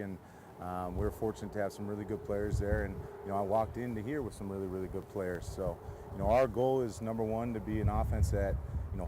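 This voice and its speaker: A man's voice: lowish voice, noisy background. An American man from San Diego, a football coach giving casual interview answers outdoors beside a field. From San Diego, California.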